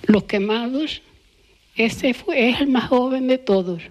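An older woman with a Canarian Spanish accent reminisces in an interview. Her voice sits fairly high for a woman, and she speaks very slowly, halting as she searches for words. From Canary Islands, Spain. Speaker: interviewee recalling village life. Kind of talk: unscripted oral-history reminiscence.

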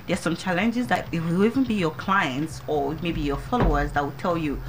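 A woman with a Nigerian accent, co-hosting a casual chat with lively interjections. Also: low-pitched, moderate pace, noisy background. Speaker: podcast co-host. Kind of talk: casual advice talk.

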